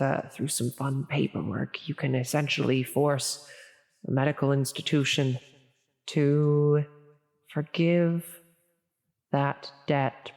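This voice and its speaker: A woman's voice: sits low, a little mellow. An awkward female demon acted by a woman speaks in long, echoing reverb. She is officious yet reluctantly helpful, stammering and correcting herself like a flustered middle manager in an intimate sleep roleplay. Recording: long reverberant hall echo.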